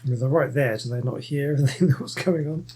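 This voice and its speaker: A man's voice: mid-range voice, brisk, dark tone, slightly rough. A man commenting casually over a game, musing aloud and hesitating, often restarting his sentences. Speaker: gameplay host. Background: game music.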